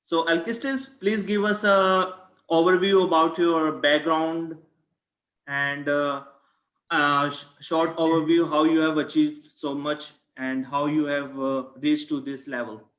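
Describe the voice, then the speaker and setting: A man's voice: fairly high pitch, little pitch movement, a little slow, fairly bright tone. A man hosting an interview, asking his guest questions hesitantly with fillers and a slip. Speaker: interview host. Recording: echoey room.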